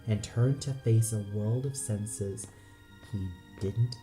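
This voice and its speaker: A man's voice: lowish voice, slow pace, mellow. A man reading dramatic scripted narration for an audio play. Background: music.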